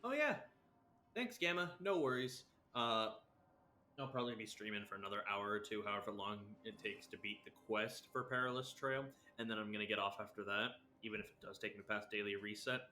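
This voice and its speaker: A male game streamer talking casually to his live audience. His voice is fairly low with a bright, forward edge, over faint noise. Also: a little fast.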